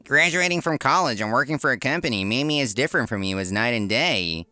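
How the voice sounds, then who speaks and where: A man's voice: mid-range voice, expressive, fast talker, sharp tone, no room sound, soft background music. A man voicing a condescending, mocking younger brother in a manga story. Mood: smug contempt. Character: sneering, smug younger brother with a corporate job.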